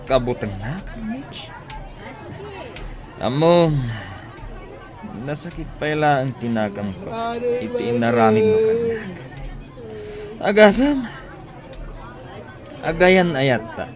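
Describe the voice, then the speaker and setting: A Filipino actor playing a persistent, wounded young suitor in a radio drama. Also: male voice, high voice, very animated, takes it slowly, creaky, pauses often, crowd noise, heavily acted. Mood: hurt, bitter. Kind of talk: Ilocano radio drama acting.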